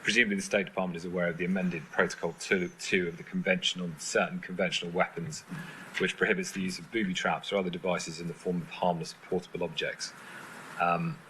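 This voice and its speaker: A man working as a reporter at a press briefing, asking persistent, somewhat halting follow-up questions. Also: low voice, level tone. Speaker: reporter questioning a government spokesman. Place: indoor press briefing room. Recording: clear background noise, room ambience.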